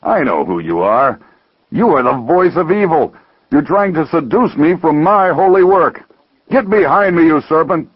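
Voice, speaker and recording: A man's voice: mid-range voice, expressive, fairly quick, slightly rough, no echo, highs cut off, voice pushed up. A man voicing a proud, self-righteous younger brother in a dramatized parable, with an emphatic, declaiming manner. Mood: furious, defiant.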